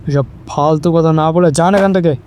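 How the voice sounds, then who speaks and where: A man's voice: a little high, steady tone, brisk, a little mellow, a little hiss or hum, lightly acted. A man playing an officious, easily flustered police constable in an audio drama. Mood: stern. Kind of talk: Bengali audio drama acting.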